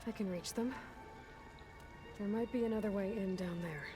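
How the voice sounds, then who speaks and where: Blunt young heroine, acted by a woman, video game, mid-pitched, sceptical, informal, music behind. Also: normal speed, close to an everyday voice. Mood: dry, confident. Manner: dry, informal, plain-spoken. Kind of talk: naturalistic video game acting.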